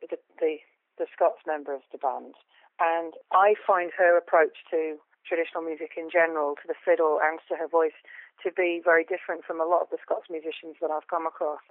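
A woman with a low voice, a folk musician chatting as a guest in a phone interview, often repeating words while she thinks. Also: no echo. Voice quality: low female voice. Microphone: telephone. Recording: tinny phone line.

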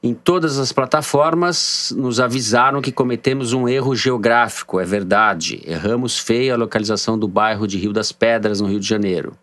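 A man with a São Paulo accent hosts a political talk podcast at a mid pitch, joking with his co-hosts and reading out listener mail in a light, bantering tone. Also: normal speed, few pauses, a bit bright, no echo. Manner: jokey, bantering, reads listener messages. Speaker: podcast host. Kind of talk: casual political podcast chat.

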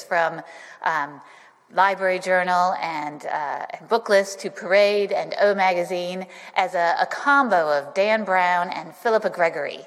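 A woman from Michigan hosting an event, reading a prepared introduction with hesitant fillers. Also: fairly low pitch. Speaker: event host. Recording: long hall reverb.

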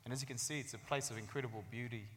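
A man's voice: mid-range voice, steady tone, rapid-fire, fairly bright tone. A man, giving an articulate, storytelling talk about his own life as a guest author. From Afghanistan, later New Zealand. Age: 30s. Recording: echoey hall with long reverb.

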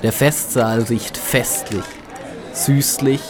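Guy playing dreamy tavern drinker in a radio play, really slow halting musing, music and crowd behind him. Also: mid-range voice, fairly even intonation, lightly acted. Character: dreamy village drinker, savouring every smell. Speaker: actor in an audio drama. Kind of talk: atmospheric audio drama acting.

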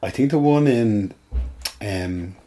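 A man with an Irish accent co-hosting a casual podcast, relaxed and a little hesitant, often restarting his sentences. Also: lowish voice, takes it slowly. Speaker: guest co-host. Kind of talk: casual chat.

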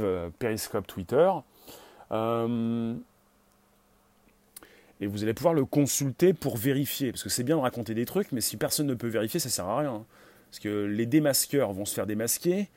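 A man hosting a livestream, talking casually to his viewers in an excitable, rambling, self-promoting way. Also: mid-range voice, normal speed, dry recording. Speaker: livestream host.

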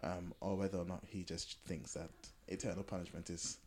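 A man's voice: low-pitched, level tone, brisk, a little mellow. A man hosting a podcast, talking through ideas in a casual, explanatory way. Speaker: podcast host. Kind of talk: casual discussion.

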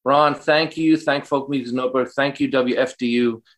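A man's voice: medium pitch, steady tone, moderate pace, a bit bright. A man from New York with a New York accent and a light tenor tone, chatting casually as an interview guest. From New York state, USA. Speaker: folk musician, interview guest.

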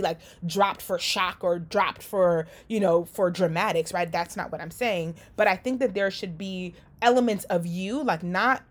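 A woman from Liberia giving casual career coaching, talking conversationally with frequent "right?" and quick restarts. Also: mid-range voice. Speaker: career coach and company founder. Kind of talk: casual coaching talk to an audience.

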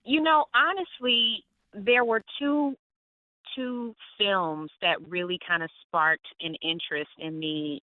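A young adult woman from Atlanta, an actress telling lively stories in an interview and laughing often. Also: mid-range voice, slow, no room sound. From Atlanta, Georgia. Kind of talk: interview on the phone. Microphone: phone. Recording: tinny phone line, dry.

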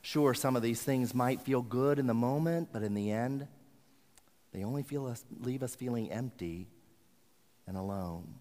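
A male preacher delivers a sermon with earnest, deliberate phrasing. His medium-pitched voice rings through a big hall with long reverb. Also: mellow. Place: large hall.

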